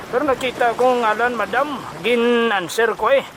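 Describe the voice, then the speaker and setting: A man voicing an excitable underling who brings messages to the boss in an audio drama, slightly noisy recording. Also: extremely high pitch, moderate pace. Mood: hesitant, reporting. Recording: faint noise behind the voice.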